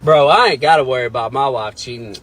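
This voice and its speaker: A man's voice: mid-range voice, fast, fairly bright tone, poor audio. A man talking casually in a comic, griping style.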